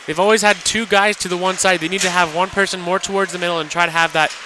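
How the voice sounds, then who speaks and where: High-voiced man analysing hockey. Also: fairly even intonation, fast talker, background noise. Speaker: colour commentator. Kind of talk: live sports analysis on radio. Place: commentary position in an indoor hockey arena.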